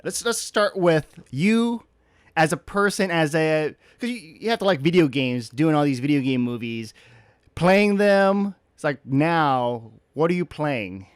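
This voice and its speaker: A man hosting a casual interview, asking in a rambling, halting way. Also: fairly high pitch, animated, smooth, no room sound, studio quality. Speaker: interviewer, host.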